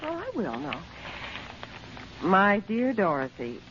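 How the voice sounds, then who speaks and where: A woman's voice: medium pitch, huge pitch swings, takes it slowly, a bit bright, heavily acted. An actress playing an anxious, tender mother in an old-time radio drama. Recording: muffled old radio recording, faint noise.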